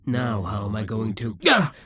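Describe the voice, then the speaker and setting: A man's voice: fairly low pitch, animated, moderate pace, noisy background, lightly acted. A man voicing a possessive, scheming male demon king in a theatrical monologue for an audio roleplay. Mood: exasperated. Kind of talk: audio roleplay addressing the listener.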